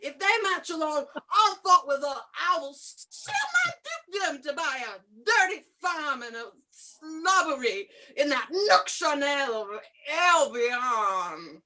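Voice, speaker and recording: An indignant noble from a Shakespeare play. The voice is high and bright, swinging widely in pitch through theatrical verse. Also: female voice, lively intonation, slow pace, far from the mic, exaggerated voice, rough recording. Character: amateur actress declaiming an indignant French noble.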